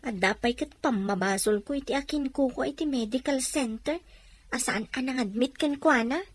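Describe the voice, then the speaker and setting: High-voiced sympathetic Ilocano friend. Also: female voice, moderate pace, husky. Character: sympathetic female friend and fellow overseas worker. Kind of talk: radio drama acting.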